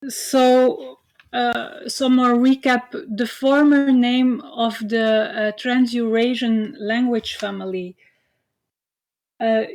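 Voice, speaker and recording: A woman's voice: on the high side, darkish tone. A woman whose first language is Dutch, giving an online university lecture with frequent "uh" fillers and a hesitant, explanatory manner. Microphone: computer mic on video call.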